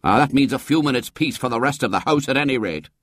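A man's voice: medium pitch, lively intonation, quick pace, fairly bright tone, no room sound, clean studio sound, voice pushed up. A man voicing a gloomy, irritable middle-aged uncle in a story reading, grumbling peevishly. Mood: irritated.

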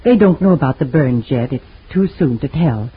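A soothing, loyal family friend. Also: female voice, sits low, normal speed, breathy edge, exaggerated voice. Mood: consoling. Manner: calm, consoling. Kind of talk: 1940s radio acting. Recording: tinny old radio recording.

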